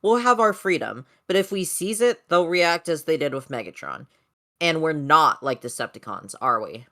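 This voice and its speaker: Robot rebel spokesman played by an amateur, earnest, formal, persuasive, mid pitch, comic dub. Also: expressive, normal speed, bright, forceful tone. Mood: firm.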